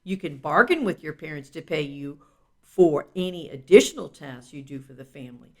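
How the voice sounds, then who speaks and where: A woman's voice: low voice, expressive, normal speed, a little mellow. A woman reading a children's self-help book aloud in an instructive, explanatory way, with casual asides.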